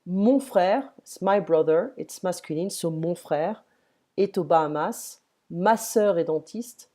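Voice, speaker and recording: A woman teaching grammar in English, patiently modelling each word slowly. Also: fairly low pitch, warm tone. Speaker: French tutor. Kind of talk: one-on-one language lesson, explaining grammar.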